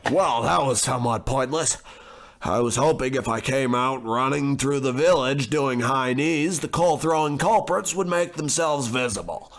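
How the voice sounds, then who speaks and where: A man playing a smug, pompous, well-educated gentleman in a comic old-time radio play. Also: mid-range voice, moderate pace, close to an everyday voice. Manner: pompous, haughty, declamatory.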